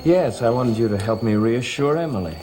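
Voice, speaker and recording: An actor playing an earnest, protective, suspicious gentleman in a gothic television soap. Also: mid-range voice, lively intonation, fairly quick, close to an everyday voice, rough recording. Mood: troubled.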